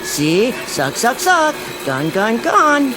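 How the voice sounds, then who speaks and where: A man's voice: very high voice, huge pitch swings, takes it slowly, noisy background, heavily acted. An actor playing a meek, bumbling door-to-door salesman in a comic radio drama, stammering and overly polite. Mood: eager pitching.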